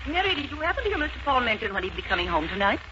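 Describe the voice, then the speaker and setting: Lady of the house: high, lively, genteel, on a noisy old radio recording. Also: racing. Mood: inquiring. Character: lady of the house, a mother addressing her maid. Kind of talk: 1940s radio drama acting. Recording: dull, noisy 1940s radio broadcast.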